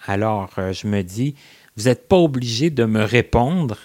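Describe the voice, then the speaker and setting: A man's voice: fairly low pitch, lively intonation, measured pace, a little mellow. Chatty man hosting. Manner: chatty, many fillers and restarts. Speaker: interview host. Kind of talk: casual remote interview.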